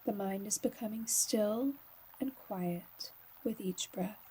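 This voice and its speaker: Breathy, very soft, slow-speaking woman guide. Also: medium pitch. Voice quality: soft, breathy, husky, often near a whisper. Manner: calm, soothing, unhurried with long pauses. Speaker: yoga nidra guide.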